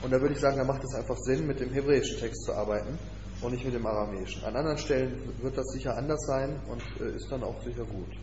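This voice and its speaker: A man presenting his research, answering audience questions in a measured, explanatory way. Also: mid-range voice. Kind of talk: academic Q&A. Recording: echoey hall, muffled, noisy.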